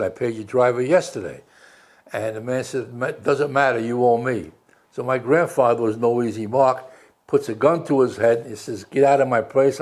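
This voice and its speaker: A man's voice: mid-range voice, darkish tone. A man around ninety, a veteran telling wry stories of his youth in an oral history interview. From New York City. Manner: storytelling, chatty, wry.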